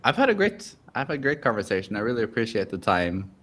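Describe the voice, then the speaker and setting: A man from Norway, a coach and interview guest, speaking in a calm, measured, upbeat way. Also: lowish voice, slight background noise. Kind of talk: casual interview chat.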